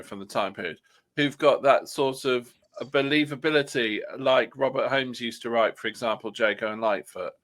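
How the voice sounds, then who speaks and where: A man talking casually as a podcast panellist, sometimes pausing to search for his words. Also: medium pitch, fairly bright tone. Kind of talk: casual fan discussion.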